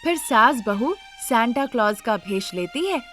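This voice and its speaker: Woman storyteller, high voice, scripted family-story narration, faint music behind. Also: animated, unhurried.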